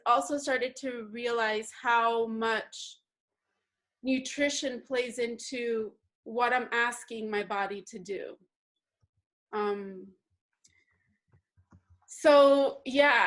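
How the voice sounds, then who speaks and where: Unhurried Guatemala-raised woman. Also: a little high, fairly even intonation, fairly bright tone. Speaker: workshop participant. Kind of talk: personal story to a group.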